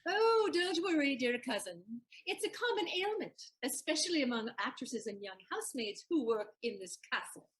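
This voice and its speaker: High, animated, shrewd maid. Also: female voice, moderate pace, few pauses. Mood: teasing. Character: shrewd, teasing lady's maid, loyal companion to countess. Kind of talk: stage comedy audio play acting.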